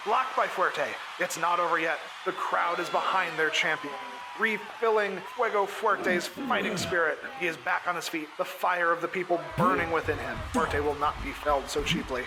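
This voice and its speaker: A man playing an excitable lucha libre ringside commentator in an audio drama, calling out in dramatic, emphatic bursts. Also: sits high, normal speed, music in the background, low-quality recording. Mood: excited.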